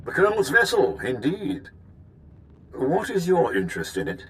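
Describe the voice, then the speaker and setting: An actor playing a ruthless veteran Imperial admiral in a video game, speaking with measured, formal and menacing courtesy. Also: male voice, a little high, huge pitch swings, a bit bright, a little hiss or hum. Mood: cold menace. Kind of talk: dramatic video game voice acting.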